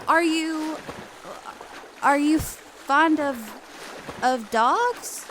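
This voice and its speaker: Polite, rambling young girl, high-pitched and earnest, audio drama, faint noise behind. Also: drawn-out words, fairly bright tone, exaggerated voice. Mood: apologetic, eager. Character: polite, chatty, eager young girl, easily apologetic.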